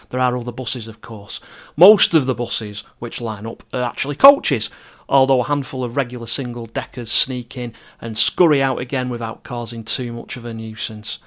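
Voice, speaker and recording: A middle-aged man narrates a travel podcast at a medium pitch. He reads descriptive scripted prose and drops in chatty asides. His manner is wry and self-deprecating. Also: fairly bright tone. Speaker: podcast host and travel writer.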